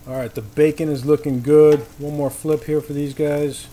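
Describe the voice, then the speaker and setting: A man's voice: fairly high pitch, flat delivery, moderate pace, dark tone. A man hosting a casual cooking demonstration outdoors, giving easygoing, informal instructions with folksy phrasing. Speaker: cooking show host. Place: outdoor paved patio beside a griddle. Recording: clear sizzling griddle noise behind voice. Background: frying and sizzling food.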